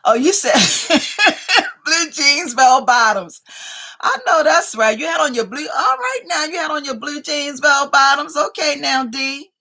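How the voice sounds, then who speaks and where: Fifty-something woman on a livestream, kinda high voice, talks slow and playful, says y'all a lot. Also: animated, sharp tone. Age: 50s. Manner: playful, chatty, keeps addressing viewers as y'all. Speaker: livestream host. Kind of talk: casual live chat reading viewer comments.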